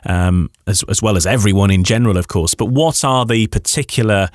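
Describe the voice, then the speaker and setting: A man's voice: on the low side, fairly quick, no echo, clean studio sound. A man hosting a conversational radio interview, often repeating words as he works his way into questions.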